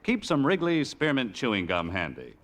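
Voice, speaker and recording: A man's voice: mid-range voice, huge pitch swings, moderate pace, fairly bright tone. A man reading a commercial as a radio announcer in a polished 1940s style. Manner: smooth, polished salesman delivery. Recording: old radio broadcast with music bed.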